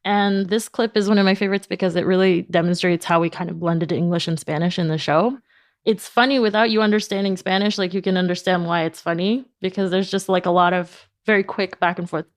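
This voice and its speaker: Female podcast guest, conversational and explanatory, lower-toned, very dry sound. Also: steady tone, a little fast, dry recording. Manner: informal, explanatory, frequent "like". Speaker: podcast company founder, guest. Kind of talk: casual interview conversation.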